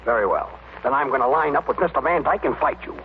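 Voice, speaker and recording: An actor playing an excitable, blustering husband and businessman, emphatic and theatrical, in an old radio comedy. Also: mid-range voice, fast talker, close to an everyday voice. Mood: defiant. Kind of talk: 1930s-40s radio comedy acting. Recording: tinny, noisy old radio recording.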